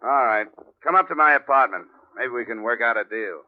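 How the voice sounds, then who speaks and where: An actor playing a tough, wry insurance investigator in an old-time radio drama, clipped and sardonic. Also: male voice, medium pitch, animated, a little fast, natural voice. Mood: wry, wary. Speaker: lead detective and narrator. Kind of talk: hard-boiled old-time radio acting. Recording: muffled vintage radio recording with music.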